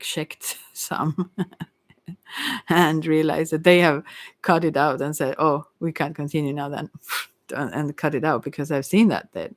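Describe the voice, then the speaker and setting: Brief: non-native English speaker as an ASMR tarot reader, unhurried, rambling and halting, in a very dry recording. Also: medium pitch, no echo. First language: not English. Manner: rambling, halting, trailing off mid-thought. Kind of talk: unscripted ASMR tarot reading.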